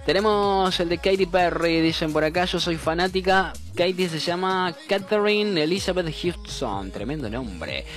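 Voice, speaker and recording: A man's voice: high-pitched, lively intonation, normal speed, hardly any pauses, a bit bright, soft background music. A man with an Argentine accent hosting a radio show, reading listener messages and joking around. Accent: Argentine Spanish. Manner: playful, joking, laughs easily. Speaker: radio host. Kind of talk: casual radio chat and countdown.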